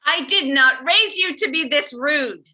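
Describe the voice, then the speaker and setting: Woman playing scolding mom, piercingly bright voice. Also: sits high, expressive, unhurried, exaggerated voice. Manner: chiding, emphatic. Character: scolding, oblivious mother of a grown son. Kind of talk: comedy script table read.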